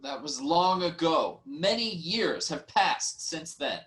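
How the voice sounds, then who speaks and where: A man playing a wronged, reasoning nobleman in a formal, measured reading of ancient Greek tragedy. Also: fairly high pitch, lively intonation, a bit bright. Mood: reasoning, defensive. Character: wronged, reasoning nobleman, brother-in-law of the king.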